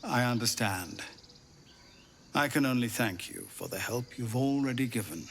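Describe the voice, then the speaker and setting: An actor playing a weary middle-aged leader of refugees, speaking in a grave, pleading way. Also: male voice, medium pitch, moderate pace, vocal fry, slight background noise, natural voice. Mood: worried. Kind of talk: video game dialogue. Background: game music.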